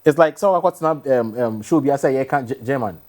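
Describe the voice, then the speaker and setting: Fast-talking Ghanaian man being interviewed. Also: medium pitch, darkish tone. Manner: explains point by point, drops in Twi words. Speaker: stage play producer, interview guest. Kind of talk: explanatory interview talk.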